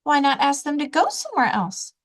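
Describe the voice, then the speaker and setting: Woman playing a high-pitched, carefree little pig. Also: wildly swooping pitch, brisk, fairly bright tone, exaggerated voice. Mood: helpful. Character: cheerful carefree female piglet, helpful best friend.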